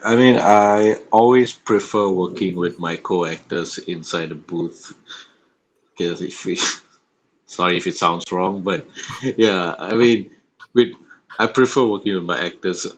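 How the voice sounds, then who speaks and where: A man's voice: low-pitched, fairly even intonation, measured pace, rough recording. A man talking casually as an actor being interviewed, with background noise around his voice.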